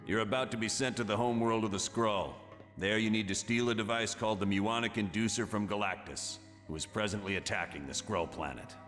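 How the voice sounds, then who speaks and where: A man playing an authoritative commander giving mission briefings in a video game, brisk and matter-of-fact. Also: fairly low pitch, fairly even intonation, a bit bright, natural voice. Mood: businesslike. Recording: long reverb, music behind.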